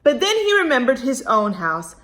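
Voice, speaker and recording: A woman with a fairly high voice reads a storybook to young children. Her delivery is expressive, with stretched emphasis on key words, and she talks straight to her small listeners as a storyteller would. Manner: animated, drawn-out emphasis, addresses young listeners directly. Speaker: storyteller reading a picture book aloud to children. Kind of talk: expressive read-aloud with chatty asides and questions.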